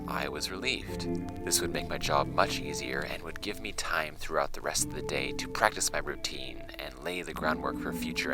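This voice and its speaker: A man reading a letter as an earnest, self-important high school girl, in a formal, overly polite manner. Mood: earnest, hopeful. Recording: music bed behind the voice.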